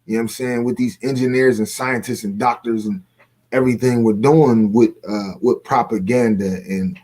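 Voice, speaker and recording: A man's voice: medium pitch, steady tone, normal speed, warm tone. A man with a mid-range voice talks as a guest in a casual online discussion. His delivery is colloquial and opinionated, full of slang. He often repeats phrases to drive a point home. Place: remote video call.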